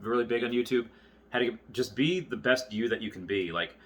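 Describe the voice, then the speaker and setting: A man in his early thirties talking casually and off the cuff to viewers, rambling and often halting to circle back on his thoughts. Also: mid-range voice, a little hiss or hum. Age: early 30s. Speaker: YouTuber. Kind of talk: casual unscripted monologue to viewers.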